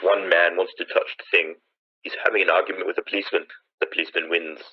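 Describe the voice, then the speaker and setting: A man's voice: deep voice, flat delivery, fast, a little hiss or hum. A man playing an urgent, awed on-scene news commentator in a 1930s-style radio drama, searching for words as they describe things. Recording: dull lo-fi old radio sound.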